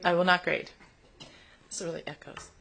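A woman with a boomy voice, a traffic safety engineer presenting a project at a public meeting in an echoey chamber, upbeat and chatty. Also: level tone, moderate pace, vocal fry.

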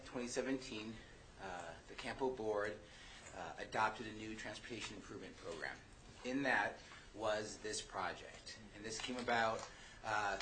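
A man on city staff briefs a transportation commission, pausing often with fillers. His voice is mid-pitched, with a faint hum of the meeting chamber behind him. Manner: halting, many fillers.

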